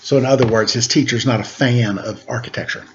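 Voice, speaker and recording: A man reading a children's picture book aloud, expressive and sing-song on the rhymes, with chatty asides. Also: mid-range voice, fairly quick, a little hiss or hum. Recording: dull lo-fi sound.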